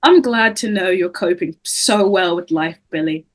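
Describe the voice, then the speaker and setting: A woman's voice: fairly low pitch, measured pace. A woman playing a traumatised, grieving teenage girl, guarded but defiant, in an amateur script read. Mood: hurt, angry. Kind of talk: amateur screenplay table read.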